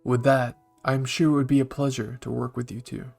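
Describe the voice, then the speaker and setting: A man voicing a calm, commanding male military leader who speaks formally. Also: mid-range voice, fairly quick, gravelly, soft background music. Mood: warm.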